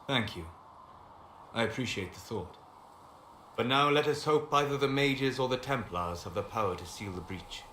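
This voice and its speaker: An actor playing a calm, scholarly elven mage in a video game, speaking in a measured, formal and eloquent way. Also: male voice, medium pitch. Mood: guarded, courteous. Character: calm scholarly male elf apostate mage, wistful and reserved. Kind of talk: video game dialogue acting. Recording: echoey room with background noise.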